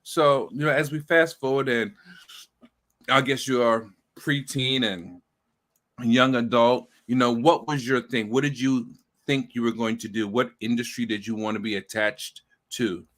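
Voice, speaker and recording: A man hosting a casual interview, with a bright, forward voice at an everyday middle pitch. He talks in a chatty, easygoing way and often trips through restarts and fillers before getting to his point. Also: fairly even intonation, unhurried. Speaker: host.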